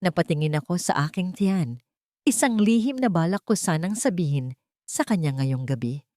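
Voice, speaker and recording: Female audiobook narrator, lower register, emotive and deliberate, dry close sound. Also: lively intonation, mellow, no echo. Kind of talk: dramatic scripted first-person story narration.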